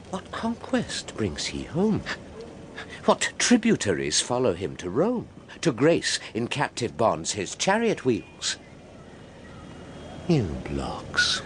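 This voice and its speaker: A man's voice: mid-range voice, fairly bright tone, slight background noise, exaggerated voice. A man playing a stern, haughty Roman official in a Shakespeare radio drama, scolding in an oratorical way. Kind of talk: radio Shakespeare acting, declamatory.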